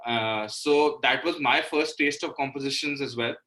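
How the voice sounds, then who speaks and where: Male interview guest, Indian accent, bright tone, mid-pitched, conversational and informal. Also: moderate pace. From Delhi, India. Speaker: guest, indie musician. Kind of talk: casual interview talk.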